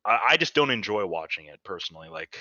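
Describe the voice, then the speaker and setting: A man co-hosting a casual podcast with a low, bright and forceful tone. He rambles through his points and trails off with shrugs. The audio is dry and a little dull. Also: big pitch swings, fast talker, no echo. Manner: rambling, trails off into "I don't know". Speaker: podcast co-host.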